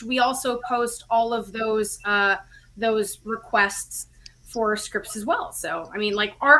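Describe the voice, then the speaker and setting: Bright, high-voiced woman, chatty guest. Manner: chatty, enthusiastic explaining. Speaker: guest, audio drama writer and co-creator. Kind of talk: casual interview talk.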